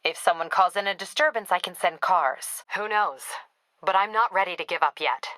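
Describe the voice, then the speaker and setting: A woman's voice: on the low side, moderate pace, bright, forceful tone, no echo, low-quality recording. A woman playing a tough, businesslike female police captain in a video game, clipped and authoritative with a wry edge. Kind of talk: video game dialogue acting.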